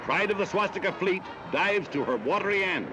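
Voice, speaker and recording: A man's voice: high voice, expressive, brisk, very noisy. A man with an American accent narrating a 1940s newsreel in a dramatic, declamatory way, on an old mono film soundtrack. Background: loud orchestral music.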